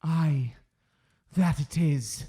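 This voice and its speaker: An actor playing an uneasy, dutiful young sheriff in a period audio drama, hesitant and deferential. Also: male voice, a little high, warm tone. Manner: hesitant, slow, earnest.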